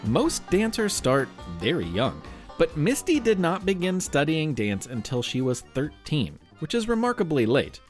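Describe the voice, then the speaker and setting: A man narrates for young listeners with highly animated, swooping intonation. His delivery is playful and theatrical, dotted with wry asides, and faint music plays underneath. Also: a little slow. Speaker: podcast host. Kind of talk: scripted narration for a children's history and quiz show.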